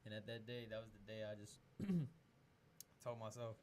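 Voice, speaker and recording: A man's voice: on the low side, flat delivery, normal speed. Podcast host, man in his 20s from Peru, profane and loose, lots of restarts, faint hiss. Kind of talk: casual chat.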